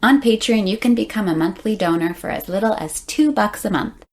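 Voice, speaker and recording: A woman's voice: medium pitch, lively intonation, a little fast. A woman hosting a podcast, delivering a scripted, upbeat announcement to listeners. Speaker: podcast host.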